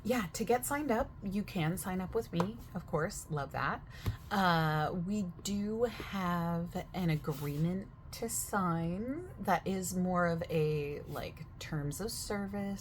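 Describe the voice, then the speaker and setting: A young woman speaks softly and gently, playing gym staff in an ASMR sales roleplay. Her voice sits on the lower side, and she over-explains everything in a chatty, unhurried way full of little fillers. A faint hiss hangs behind her.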